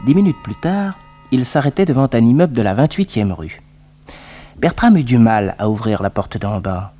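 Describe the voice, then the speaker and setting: An actor playing a droll storyteller, narrating a French detective radio serial in scripted style. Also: male voice, mid-range voice, expressive, normal speed, mellow, lightly acted.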